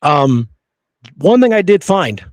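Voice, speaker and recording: A man with a fairly high voice hosts a history show in a very dry, close room sound. He works through researched notes as if chatting, slipping in casual, amused asides. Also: big pitch swings, slow, dry recording. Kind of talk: conversational storytelling from researched notes.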